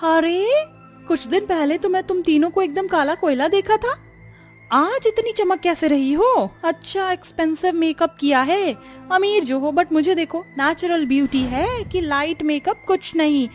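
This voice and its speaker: A woman's voice: very high-pitched, measured pace, voice pushed up. A woman voicing a vain, teasing young female neighbour in a cartoon, catty and showing off. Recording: music under the voice.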